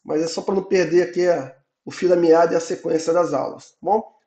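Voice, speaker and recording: Hoarse male instructor, higher pitched, explains patiently; roomy recording. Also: fairly even intonation, brisk, dark tone. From Brazil. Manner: explains in repeated checks, ending phrases with "tá?". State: hoarse from a cold. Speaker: database instructor. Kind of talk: online technical lecture.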